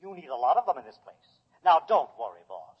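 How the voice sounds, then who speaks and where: An actor playing a devoted, earnest robot servant in a mid-century radio drama, speaking in short, simple declarations. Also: male voice, on the high side, sing-song, fast, husky. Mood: earnest, reassuring. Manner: simple short declarative sentences, calls owner boss. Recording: dull old radio recording, long reverb.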